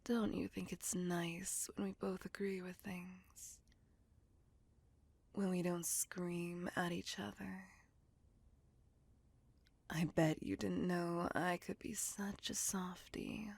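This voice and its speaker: A woman voicing a centuries-old female vampire in an intimate ASMR roleplay, possessive, doting and teasing, in a soft, breathy, coaxing whisper. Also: on the low side, little pitch movement, takes it slowly, long pauses, no echo, full character voice. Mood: coaxing, tender.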